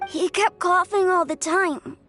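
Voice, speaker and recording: High, slow-talking little boy. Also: a bit bright, soft background music, exaggerated voice. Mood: trusting, wistful. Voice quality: childlike. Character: sickly, trusting little orphan boy. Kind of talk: video game voice acting.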